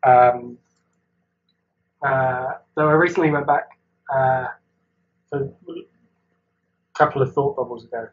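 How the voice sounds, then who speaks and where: A man's voice: medium pitch, fairly even intonation, slow pace, long pauses, phone-quality sound. A man from Wales, chatting as a guest artist over a video call, rambling and hesitant with frequent laughs. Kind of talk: casual chat.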